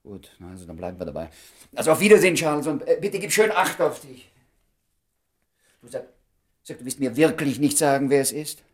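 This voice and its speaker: An actor playing an inquisitive, easily flustered doctor in a German radio play, speaking with insistence and hesitation while feigning indifference. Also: male voice, medium pitch, sing-song, fairly quick, pauses often, husky. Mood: curious, nettled.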